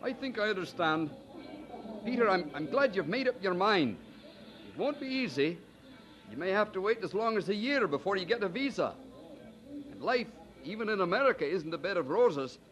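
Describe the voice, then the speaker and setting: An actor playing a kindly, persuasive older cousin who gives thoughtful advice in old-time radio drama style. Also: male voice, sits high, very animated, soft background music. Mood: warm, understanding. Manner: encouraging, gently probing, thoughtful pauses. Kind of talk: mid-century radio drama acting.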